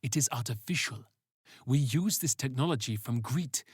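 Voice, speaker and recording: A narrator reads a composed male fighter in a sci-fi audio story. He explains gravely and calmly, in a middle register with a very dry sound. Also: medium pitch, normal speed, no room sound. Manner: calm, explanatory.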